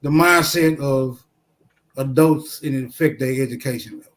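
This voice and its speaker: A man in an informal debate, putting questions to others in formal, deliberate wording. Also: mid-range voice, fairly bright tone.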